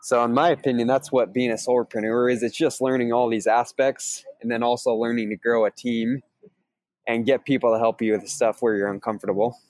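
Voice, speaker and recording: An upbeat, energetic man co-hosts a casual chat and explains his trade with easy enthusiasm. His pitch sits in the middle range. The recording is dry and close, with no echo. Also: brisk. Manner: upbeat, energetic, laughs easily. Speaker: podcast co-host, sales trainer. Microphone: remote call, each on own mic.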